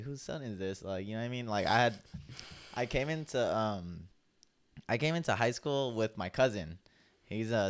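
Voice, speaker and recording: A young man chatting casually on a podcast, his voice low-pitched and smooth. He rambles through stories at an easy pace, filling the gaps with "like" and "um.". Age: young adult. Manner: laid-back storytelling, heavy use of "like". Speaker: podcast co-host. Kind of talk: casual chat.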